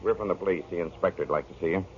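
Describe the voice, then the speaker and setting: Fast, deep-voiced radio policeman played by a man. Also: natural voice. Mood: grave, official. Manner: clipped, official, polite. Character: formal, courteous policeman bearing grim news. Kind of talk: 1940s radio drama acting. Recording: tinny, very noisy old radio broadcast.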